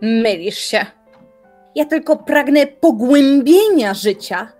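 An actress playing a determined, defiant young woman in an audio drama, arguing in measured, formal language. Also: high voice, expressive, a little mellow, soft background music, exaggerated voice. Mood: defiant, argumentative. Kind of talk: audio drama acting, literary dialogue.

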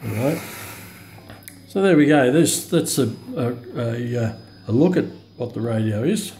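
Halting man, low-key demo, roomy phone recording. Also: lively intonation, mellow, slight background noise. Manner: halting, hesitant, thinking aloud. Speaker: radio reviewer. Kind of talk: casual, unscripted product demonstration. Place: indoor room in a home. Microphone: handheld phone camera.

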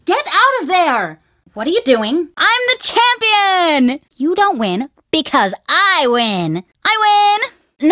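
A woman's voice: high-pitched, fairly bright tone, no echo, clean studio sound, heavily acted. A woman voicing a bossy, competitive character at a dig site who explains a board game. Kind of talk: video game voice lines.

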